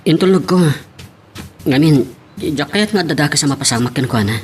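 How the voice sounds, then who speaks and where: A man's voice: on the high side, animated, a little fast, lightly acted. A man acting an eager, polite young man in love in an Ilocano audio drama. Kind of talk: radio-style drama acting. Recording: clearly noisy background.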